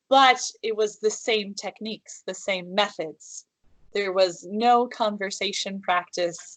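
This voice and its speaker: Slow, careful American woman teacher. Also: medium pitch, no echo, rough recording. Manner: measured, careful phrasing with restarts and repetition. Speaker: English teacher. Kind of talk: interview answers. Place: room at home with calligraphy on the wall.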